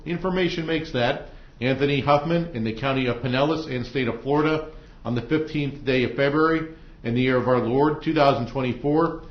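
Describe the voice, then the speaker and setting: A man with an American accent speaking as a judge, formally addressing jurors with halting hesitations. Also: mid-range voice. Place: indoor courtroom. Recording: echoey courtroom, dull muffled audio.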